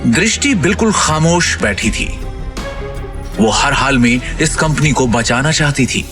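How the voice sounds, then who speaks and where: A man's voice: mid-range voice, lively intonation, a little slow, music in the background. A man narrating a scripted audio drama as a dramatic storyteller.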